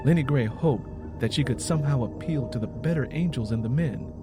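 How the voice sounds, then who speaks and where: Guy narrating a dramatic audiobook, medium pitch, soft music underneath. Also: brisk. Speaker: storyteller.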